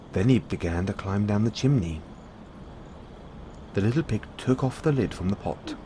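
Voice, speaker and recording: Guy with a low voice reading bedtime fairy tales, really animated, noticeable noise behind him. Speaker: audio roleplay performer. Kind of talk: bedtime storytelling.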